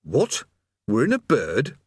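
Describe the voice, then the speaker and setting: A hapless adventurer, played by a male reader, aghast and hesitant in a storybook fantasy. His pitch jumps about freely, and the recording is very dry. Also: wildly swooping pitch, slow, husky, no echo, full character voice. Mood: bewildered. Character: aghast, bewildered man, hapless reluctant adventurer.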